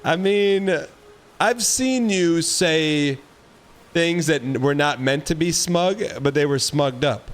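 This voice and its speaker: A man's voice: fairly high pitch, slow pace. A man hosting a stream, asking curious questions and teasing his guest in a casual chat. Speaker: stream host.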